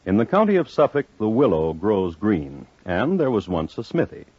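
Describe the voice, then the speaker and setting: A man hosting and narrating a scripted 1950s radio crime series in a measured, theatrical manner with dramatic pauses. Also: on the low side, sing-song, background noise. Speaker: host and narrator. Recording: muffled old 1950s radio recording.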